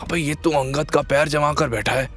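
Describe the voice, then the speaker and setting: A man's voice: on the high side, fairly even intonation, normal speed, a bit bright, faint music behind, voice pushed up, natural voice. A man playing a rude, hostile security guard in an audio drama, barking in coarse street slang. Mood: shouting, mocking. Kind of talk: Hindi audio drama acting.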